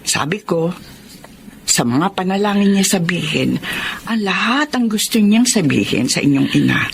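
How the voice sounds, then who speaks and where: A performer playing a protective, caring older auntie figure in a Filipino radio melodrama, emphatic and emotional, with a Filipino accent. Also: male voice, high voice, lively intonation, normal speed, gravelly, a little hiss or hum. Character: protective, caring older family friend, like an aunt.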